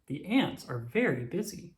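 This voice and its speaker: A woman reading a children's storybook aloud with expressive, animated storytelling and emphatic exclamations. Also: sits low, measured pace. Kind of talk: scripted read-aloud narration for young children. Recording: roomy, echoing sound.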